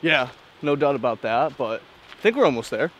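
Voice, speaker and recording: A man's voice: mid-range voice, animated, a little fast, music in the background, low-quality recording. A young cameraman chatting casually and easily from behind the camera on a woodland hike. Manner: easygoing, brief replies. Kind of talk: casual chat.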